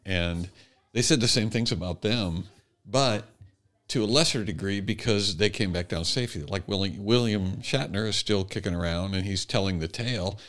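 A man in casual podcast conversation as a co-host, with a wry, halting way of thinking aloud. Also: fairly low pitch, fairly bright tone, soft background music.

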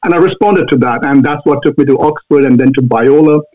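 A man with an Indian accent, a guest answering interview questions earnestly, pausing often on fillers. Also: a little high. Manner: earnest, halting with many fillers. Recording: tinny phone-like line.

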